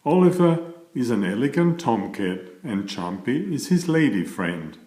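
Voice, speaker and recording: A man reading a children's storybook aloud, telling it in an expressive and deliberate way. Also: medium pitch. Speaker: children's book reader. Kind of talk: storybook read-aloud narration. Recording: roomy, echoing voice recording.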